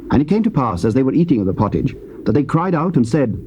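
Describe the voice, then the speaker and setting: A man narrating scripture in a measured, stately way in a dull, lightly hissy old tape recording. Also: medium pitch, sing-song, warm tone.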